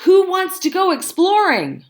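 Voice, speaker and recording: Lady doing a bold little girl mouse from a picture book, high voice, emphatic, swoopy, wants adventure. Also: wildly swooping pitch, a little slow, exaggerated voice. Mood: eager. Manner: emphatic, declarative.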